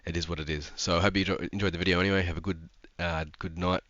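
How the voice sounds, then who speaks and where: A man's voice: low-pitched, flat delivery, very fast, fairly bright tone, no echo, lo-fi sound. A young man, stuffed up from a cold, chatting casually about sim racing with lots of ums and restarts. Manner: rambling, frequent ums and restarts. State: congested from a cold. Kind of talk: casual commentary to camera.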